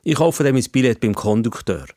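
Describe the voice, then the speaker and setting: A man voicing a curious, easily amazed old-fashioned gentleman from the past in a children's story, with a formal, wondering way of talking. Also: mid-range voice, animated, a little fast, no room sound, clean studio sound, natural voice. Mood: polite refusal.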